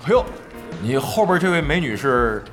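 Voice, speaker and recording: A brash, chummy sleazy male gamer in an audio drama. His pitch leaps and dives constantly as he gushes and teases, with music underneath. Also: sing-song, normal speed, fairly bright tone. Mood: eager, flirtatious. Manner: effusive, slangy, teasing.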